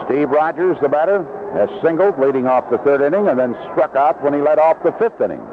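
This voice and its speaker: Vintage radio baseball caller: man, animated intonation, descriptive clipped calls, clearly noisy background. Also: fairly high pitch, fairly quick. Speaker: baseball play-by-play announcer. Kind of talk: live radio sports commentary. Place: broadcast position at an outdoor ballpark. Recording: narrow-band vintage radio broadcast, noisy.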